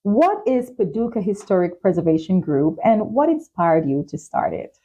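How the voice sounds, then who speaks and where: A woman hosting a talk show, presenting in a measured, carefully enunciated way. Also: fairly low pitch, big pitch swings, mellow, slightly rough, lo-fi sound. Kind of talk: scripted show introduction and sponsor reads, interviewing.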